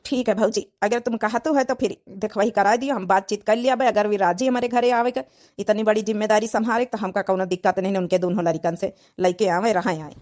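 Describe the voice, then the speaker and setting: A woman's voice: medium pitch, fairly even intonation, brisk, a little mellow, close to an everyday voice. A woman voicing a sorrowful young widowed father in a cartoon, speaking plaintively with an Awadhi accent. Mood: reluctant, resigned. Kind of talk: dramatic cartoon dialogue in dialect.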